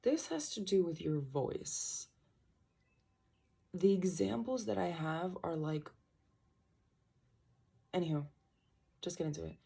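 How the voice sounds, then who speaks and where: A woman's voice: fairly low pitch, expressive, slow pace, creaky, pauses often, mellow, slightly rough. A young woman doing a casual tarot reading from home in the early morning, rambling and trailing off, with birds chirping outside. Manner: rambling, trailing off, self-interrupting. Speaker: tarot reader. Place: small home room at night, birds outside.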